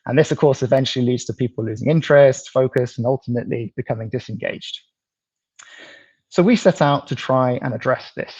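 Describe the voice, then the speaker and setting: A man from the UK, presenting an app in a live pitch, explaining steadily with some ums and restarts. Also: brisk, a little mellow, slightly rough, no room sound, highs cut off. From United Kingdom.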